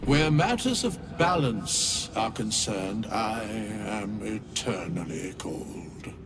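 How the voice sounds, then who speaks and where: A man voicing an ancient, cold undead sage in a video game, with a gravelly voice and portentous, detached, archaic delivery. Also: fairly low pitch, lively intonation, slow, noisy background. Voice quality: gravelly, creaky. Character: ancient, cold, solemn undead male sage of cosmic balance. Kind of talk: video game voice acting, archaic oracular speech.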